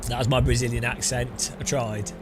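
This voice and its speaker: A man's voice: mid-range voice, level tone, moderate pace, background noise. A man streaming a sim racing game, chatting casually and teasing his viewers with rambling jokes. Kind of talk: casual live-stream chat while driving. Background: loud electronic music.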